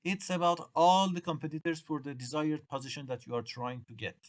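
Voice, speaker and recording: A middle-aged man from Iran with a Persian accent, a native Persian speaker, lecturing students at an English exam workshop. He talks haltingly, restarting and repeating phrases, with uneven grammar. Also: on the high side, fairly even intonation.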